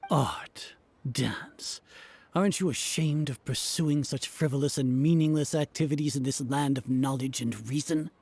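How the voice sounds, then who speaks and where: An actor playing a haughty, authoritarian senior scholar in a video game, speaking in a pompous, formal, scornful way. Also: male voice, mid-range voice, a little slow, a little mellow, slightly rough. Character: haughty authoritarian male grand scholar, contemptuous of art.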